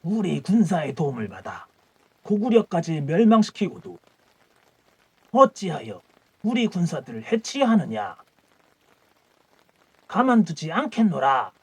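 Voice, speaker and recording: A man's voice: fairly high pitch, very animated, a little slow, long pauses, a little mellow, husky, no room sound, voice pushed up, heavily acted, rough recording. A man voicing an angry, imperious Tang emperor who hurls indignant threats. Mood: threatening. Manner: haughty, indignant outbursts.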